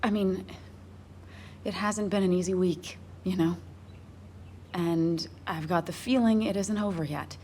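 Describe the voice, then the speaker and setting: Woman acting sad worn-out woman in a game drama, low-ish voice, halting and confiding, some background noise. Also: fairly even intonation, mellow, close to an everyday voice. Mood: weary, grateful. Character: grieving, weary woman talking with her ex-boyfriend.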